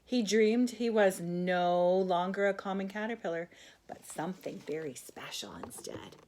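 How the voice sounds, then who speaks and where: An older woman reads a children's picture book aloud in a gentle, expressive way, with asides to a young listener. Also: fairly low pitch, measured pace, a little hiss or hum. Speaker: grandmother storyteller.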